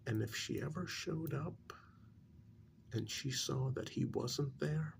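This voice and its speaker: Slow, gravelly, half-whispering man acting as male therapist. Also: medium pitch, slight background noise. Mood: confiding, measured. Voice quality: breathy, half-whispered soft speech. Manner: slow, deliberate, measured with pauses. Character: calm, probing male psychiatrist counseling a supernatural couple. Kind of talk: soft-spoken ASMR role-play.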